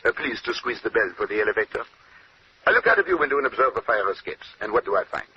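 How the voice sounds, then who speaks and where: Fussy belgian detective w french accent, quick and very lively, theatrical, noisy old radio drama. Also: male voice, on the low side. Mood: deductive, sly. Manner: precise, formal, theatrical, peppered with French courtesies. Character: fussy, shrewd Belgian male detective, courteous and self-assured. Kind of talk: 1940s radio acting. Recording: muffled, noisy old-time radio recording.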